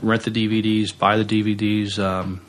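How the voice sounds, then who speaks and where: A man co-hosting a podcast, chatting casually in a halting way and restarting his phrases. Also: fairly low pitch, level tone, a little hiss or hum. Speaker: podcast co-host. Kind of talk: casual unscripted podcast chat.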